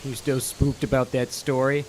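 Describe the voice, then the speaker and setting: A man's voice: medium pitch, level tone, moderate pace, darkish tone, a little hiss or hum, lightly acted, low-quality recording. A man acting a cocky, dismissive, joking repairman in an audio horror drama.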